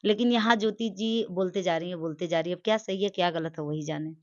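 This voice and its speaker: A woman's voice: lowish voice, fast, darkish tone, no room sound. A woman giving emphatic opinions in a conversational interview, repeating phrases for stress. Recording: dry, dull lo-fi sound.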